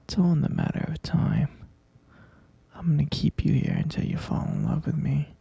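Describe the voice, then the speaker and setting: A man playing a possessive, lovesick kidnapper in an intimate ASMR roleplay, coaxing softly in a breathy half-whisper and repeating himself. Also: a little high, fairly even intonation, normal speed, no room sound, exaggerated voice. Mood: defensive, lovesick. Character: obsessive lovesick male kidnapper, soothing and possessive yandere.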